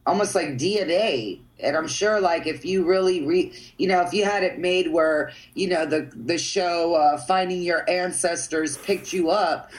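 A woman's voice: on the low side, a little slow, few pauses, poor audio. Woman artist guest, loose and rambling, drops swear words, keeps checking you're with her. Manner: rambling, frequent "you know what I mean", casual swearing. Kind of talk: casual interview talk.

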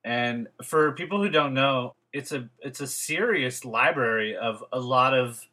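A man's voice: medium pitch, measured pace, pushed and bright. A young man hosting a podcast, chatting casually and rambling with many fillers and restarts. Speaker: podcast host. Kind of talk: casual interview chat.

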